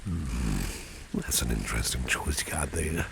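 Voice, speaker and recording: A man playing a protective, flirtatious boss in an ASMR roleplay, speaking softly and suavely in a gravelly, breathy near-whisper. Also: rumbling low, heavily acted. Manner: slow, suave, soft-spoken. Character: protective, flirtatious male boss, smooth and courtly. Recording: steady rain noise behind the voice. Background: rain and street ambience.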